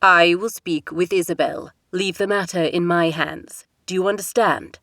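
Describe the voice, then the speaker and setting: A woman's voice: lowish voice, steady tone, a bit bright, dry recording, studio quality. A woman voicing a stern older king speaking formally to his daughter. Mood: formal firm. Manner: measured, formal, authoritative.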